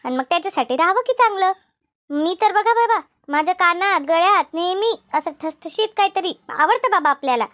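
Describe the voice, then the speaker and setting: Woman acting very high-pitched, chatty village housewife. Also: animated, a little fast, a bit bright, no room sound. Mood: chatty, self-assured. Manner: gossipy, emphatic, colloquial. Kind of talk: animated comedy cartoon dialogue.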